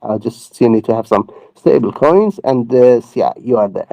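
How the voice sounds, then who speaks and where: A man's voice: mid-range voice, lively intonation, normal speed, creaky, warm tone, dry recording, muffled recording. A man answering questions in a voice chat, hesitant and full of fillers and restarts. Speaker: project team member answering questions. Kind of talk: casual voice-chat Q&A.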